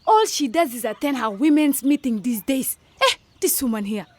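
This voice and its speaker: A woman's voice: high-pitched, very animated, moderate pace. An actress in a radio drama playing a gossipy young woman of a village household, insinuating in manner.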